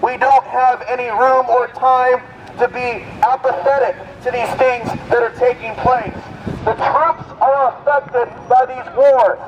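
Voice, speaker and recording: A man's voice: extremely high pitch, little pitch movement, measured pace. An American man giving an impassioned, emphatic political speech at an outdoor protest, declaiming through a megaphone. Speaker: protest speaker. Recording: muffled megaphone audio, clear outdoor street noise.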